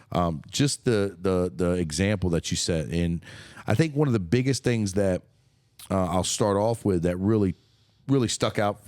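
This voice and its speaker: A middle-aged man with a very low voice hosts a podcast, talking in an enthusiastic, rambling way and dropping the odd casual swear. The sound is dry and close, as in a small quiet room. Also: no echo. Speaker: podcast host. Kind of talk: casual interview chat.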